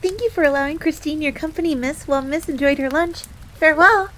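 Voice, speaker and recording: A woman's voice: sits high, quick pace, a little hiss or hum. A woman voicing a timid, eager fox maid in a fantasy audio roleplay, speaking deferentially and calling herself by name in the third person. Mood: flustered, hurried. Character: timid, eager, easily flustered female fox maid servant. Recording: steady rain ambience under the voice. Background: rain.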